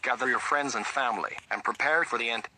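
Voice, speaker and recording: A man's voice: medium pitch, fast talker, noisy background, close to an everyday voice. A man playing a grave head of state giving a formal, scripted emergency address about disaster. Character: grave male head of state announcing doomsday.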